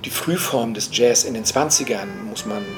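A mature man with a medium-pitched voice talks off the cuff like a cultural critic. He gropes for the right word, restarts and checks in with short tag questions. Music plays clearly behind his voice. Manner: halting, searching for words, adding tag questions. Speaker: cultural commentator and jazz enthusiast. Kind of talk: spontaneous analytical talk.

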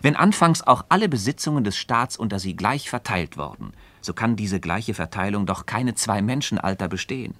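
An actor playing an earnest philosopher in a literary radio dialogue, speaking formally and didactically, building each point with emphasis. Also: male voice, on the low side, lively intonation, moderate pace, hardly any pauses, a bit bright, no echo. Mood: emphatic, reasoning. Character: earnest, insistent male philosopher leading a Socratic dialogue. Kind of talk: German literary radio play dialogue, formal stage diction.

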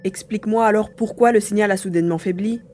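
A woman's voice: mid-range voice, fast talker, darkish tone, a little hiss or hum, natural voice. A woman playing an adventure heroine who questions her partner during a search in an audio drama. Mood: questioning, concerned. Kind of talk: French audio drama acting.

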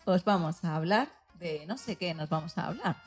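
A woman's voice: lowish voice, lively intonation, moderate pace, faint music behind. A woman with an accent from Spain co-hosting a radio programme, presenting from a script. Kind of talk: scripted magazine-show presenting.

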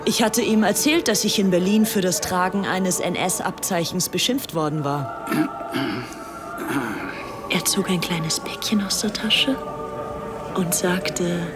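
Haughty young English socialite, lowish voice, fervent, gushing, self-assured, radio drama. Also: female voice, slow, long pauses, loud music behind the voice. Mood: confiding. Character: fervent, haughty young English society woman devoted to fascism. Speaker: actress in a radio docudrama. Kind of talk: German radio play acting.

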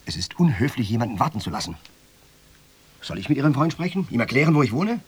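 A man's voice: medium pitch, big pitch swings, quick pace, slightly rough. A man acting in a German radio drama as a rich, enigmatic host who toys with his captive guest in cool, formal, cryptic replies. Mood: needling.

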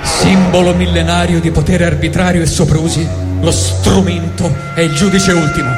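Male monologuist, Roman accent, high register, sarcastic mock-epic delivery, music under, long reverb. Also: level tone, normal speed. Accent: Italian, Roman. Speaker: storyteller. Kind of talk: comic first-person monologue reading.

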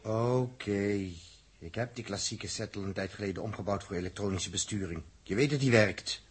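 An actor with a Netherlands Dutch accent playing an excitable, fretful spaceship engineer in a 1960s radio drama, with a husky voice. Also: male voice, lowish voice, normal speed. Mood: testy. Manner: swings from muttering to agitated outbursts.

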